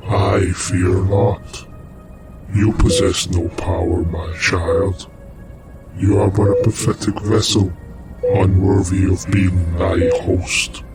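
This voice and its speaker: A man's voice: deep voice, little pitch movement, takes it slowly, loud music behind the voice. A man voicing an ancient demonic entity that possesses a human host, speaking in solemn, archaic, commanding tones. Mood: contemptuous. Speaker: villain. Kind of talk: indie audio drama acting.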